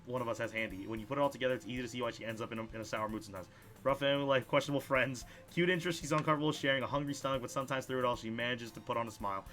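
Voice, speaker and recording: A man hosting a video game playthrough, reading dialogue aloud in an animated way, stumbling over words and adding his own side comments. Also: mid-range voice, racing, faint music behind. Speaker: let's play host.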